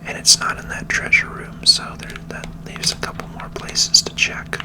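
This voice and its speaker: Gamer for ASMR playthrough: fully whispered, soft, unhurried commentary. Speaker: video game player and commentator.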